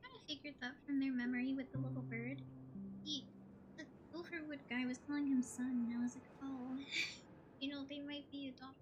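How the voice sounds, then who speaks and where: A woman's voice: high voice, steady tone, fairly quick, dull audio, noisy background. A woman giving casual, hesitant live game commentary, giggly and thinking aloud as she goes. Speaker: game streamer.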